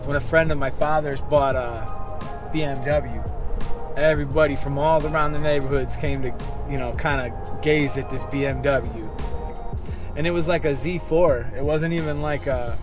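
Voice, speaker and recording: An American man in his thirties chatting casually to viewers in an easygoing, slangy vlog style. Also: fairly high pitch. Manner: easygoing, slangy, chummy address. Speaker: barber and vlogger. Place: moving car, later a barbershop. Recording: music playing behind the voice.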